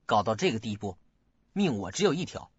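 A man's voice: fairly low pitch, big pitch swings, fairly quick, slightly rough, dry recording, exaggerated voice. An actor playing an emotional, troubled young man in a radio drama. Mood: bitter, accusing. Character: bitter, accusing young man, anxious son at home. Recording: dull, dry lo-fi audio, faint music.

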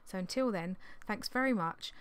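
A woman in her thirties, slightly hoarse from losing her voice, chatting in a rambling, apologetic way on a vlog, recorded in a small indoor craft room on a desktop mic. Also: mid-range voice, moderate pace. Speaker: clothing reseller vlogger. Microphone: desktop condenser mic on an arm.

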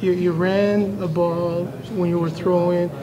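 A man's voice: high voice, little pitch movement, a little slow, a crowd in the background. A man, a sports reporter, putting questions to a coach, stammering and repeating words. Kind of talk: press scrum questions.

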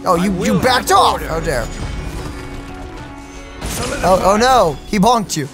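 A woman's voice: mid-range voice, sing-song, slow pace. A young woman hosting a let's play, giving casual, joking reactions to a game. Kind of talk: casual gameplay reaction commentary. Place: small indoor room, streaming setup. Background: game music.

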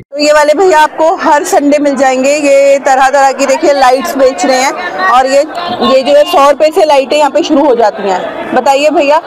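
High-voiced woman touring a crowded market. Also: moderate pace, low-quality recording. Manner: chatty, asks vendors prices and repeats them to viewers. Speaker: vlogger touring a street market. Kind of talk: casual market tour narration. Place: busy outdoor street market. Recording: loud crowd noise of a busy market.

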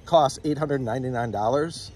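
A man in his forties calmly explaining his trailer in a matter-of-fact way, recorded outdoors on someone else's phone. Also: mid-range voice, moderate pace, slight background noise. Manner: calm, matter-of-fact, some repeated words. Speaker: trailer company owner, guest. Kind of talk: casual product explanation. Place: outdoors on a grassy park by a lake. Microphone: phone held by another person nearby.

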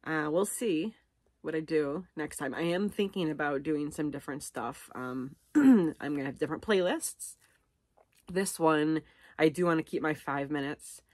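A woman's voice: low-pitched, a little slow, darkish tone, no echo. A middle-aged woman chatting casually and unscripted to camera, rambling and self-deprecating as she thinks aloud. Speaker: vlogger. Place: living room at home, on a couch.

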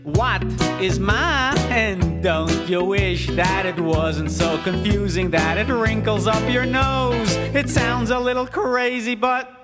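A man's voice: high voice, takes it slowly. A man voicing a friendly bearded cartoon cowboy who patiently explains things and breaks into cheerful song. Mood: singing cheerfully. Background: loud music.

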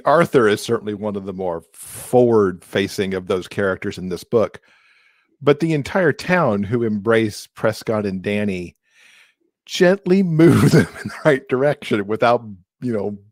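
Low-pitched middle-aged man interviewing. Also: no echo, clean studio sound. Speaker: podcast co-host, interviewer. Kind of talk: casual conversational interviewing. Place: indoors, video call from a room. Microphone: large microphone close in front of him.

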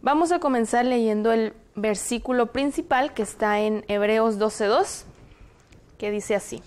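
A woman's voice: fairly high pitch, darkish tone. Young Mexican woman hosting a studio show. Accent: Mexican Spanish. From Zacatecas, Mexico. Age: young adult. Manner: measured, explanatory, reads passages aloud. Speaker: host. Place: television studio, indoors. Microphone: lapel.

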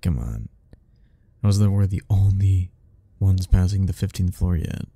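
A man's voice: sits low, lively intonation, a little slow, gravelly, mellow, breathy edge, no room sound, exaggerated voice. A man playing an anxious, sarcastic, self-deprecating young man in a romantic ASMR roleplay, speaking in a wry, rambling way to the listener. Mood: weary, wry. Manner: sighing, wry, rambling, chuckling.

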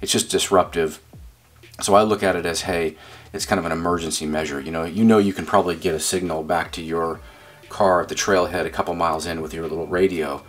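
A man's voice: deep voice, fairly even intonation, a little fast. A man giving a casual hands-on demonstration as a radio hobbyist, explaining informally and thinking aloud as he goes. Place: indoors at a desk.

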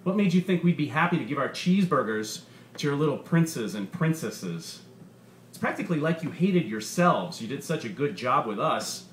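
A man's voice: medium pitch, very animated, normal speed, natural voice. Guy acting out a bitter robot standup comic, sweary and sardonic, echoey bar, bit of noise. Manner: sardonic, profane stand-up delivery with punchy emphasis. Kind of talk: stand-up comedy routine in a short story reading. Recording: roomy bar with faint background noise.

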